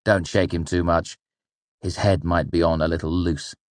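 Guy reading as a tired deep-voiced innkeeper, dry and calm, fantasy audiobook, close dry sound. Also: normal speed, no room sound, natural voice. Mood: dismissive. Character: weary, wounded male innkeeper, dry, calm and stoic.

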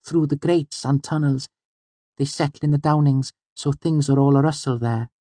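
A man voicing a whimsical, childlike young girl in an audiobook, speaking softly and daintily with earnest, precise phrasing. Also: fairly high pitch, fairly even intonation, normal speed, no room sound, voice pushed up, natural voice. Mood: chatty. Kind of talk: audiobook character voice.

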